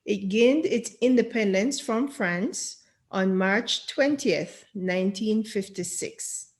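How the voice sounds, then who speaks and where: A woman hosting a podcast, reading a scripted intro and then welcoming and questioning her guest. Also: mid-range voice. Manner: slow, deliberate reading, enthusiastic welcomes. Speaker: podcast host.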